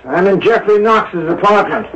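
An actor playing an urbane, inquisitive amateur sleuth with a teasing manner in a radio mystery drama. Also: male voice, high voice, animated, moderate pace, fairly bright tone, slight background noise. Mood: brisk, intent. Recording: dull lo-fi old radio recording.

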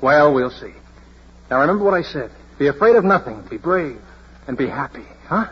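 Proud bossy street washer guy, old radio drama, really raspy, voice swings all over, muffled recording. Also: fairly high pitch, expressive, moderate pace. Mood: bossy, tender. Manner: emphatic, declamatory, commanding. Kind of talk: 1940s radio drama acting.

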